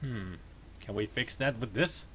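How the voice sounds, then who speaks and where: Finnish streamer in his 30s, American accent, bright tone, chatty game commentary. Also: medium pitch, measured pace, a little hiss or hum. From Finland. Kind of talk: casual let's play commentary.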